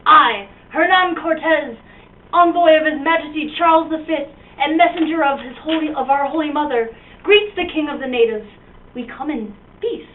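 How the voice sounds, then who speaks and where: Woman doing a high, cocky puppet conquistador. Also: moderate pace, a little hiss or hum, natural voice. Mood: grand. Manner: cocky, grandly proclaiming, casual slang. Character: smug, greedy male Spanish conquistador, puppet show. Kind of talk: amateur comic puppet show. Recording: tinny lo-fi mic from a distance.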